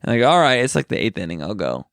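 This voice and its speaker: A young man on a podcast, casually telling a story in an animated way and quoting what others said. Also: on the low side, rapid-fire, smooth, no room sound, studio quality. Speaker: podcast co-host, comedian. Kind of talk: casual chat.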